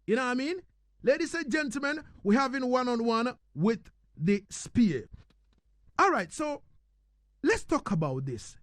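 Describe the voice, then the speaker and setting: A male radio host speaks in a high, very lively voice. His delivery is emphatic and conversational, and the sound is dry and close, suited to live interviewing. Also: measured pace, dry recording. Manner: emphatic, repeats "you know what I mean". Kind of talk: live radio interview, reading listener messages.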